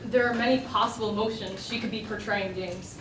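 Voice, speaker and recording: A woman's voice: mid-range voice, fairly even intonation, fairly quick, a bit bright, natural voice. A woman acting on stage as an opinionated, frustrated woman arguing her side earnestly and emphatically. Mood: earnest, insistent. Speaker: stage actor. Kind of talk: stage play dialogue. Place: indoor stage, recorded from afar. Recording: echoey stage recording, distant mic, noisy.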